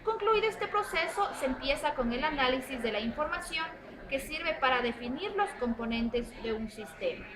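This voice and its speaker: High, lively young woman presenting a lesson. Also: normal speed, crowd noise, rough recording. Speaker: student presenter. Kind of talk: reading a class presentation.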